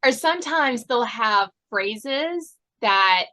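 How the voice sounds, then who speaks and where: A woman's voice: a little high, lively intonation, slow, bright, forceful tone. A woman hosting a podcast in a casual interview, chatty and given to laughing. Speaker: podcast host.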